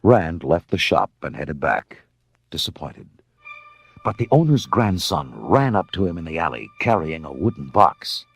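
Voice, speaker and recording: A male narrator reads a scripted storybook with dramatic, measured delivery. His voice is very low and gravelly, yet moves expressively up and down. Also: big pitch swings, no room sound. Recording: music under the narration. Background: music.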